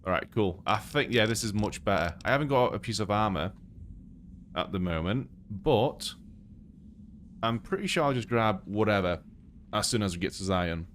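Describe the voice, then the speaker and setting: A man's voice: fairly low pitch, moderate pace, a little hiss or hum. A man from Poland with a British accent, born Polish-speaking, chatting casually as he plays a game on a live stream and thinks aloud. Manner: relaxed, thinking aloud while playing.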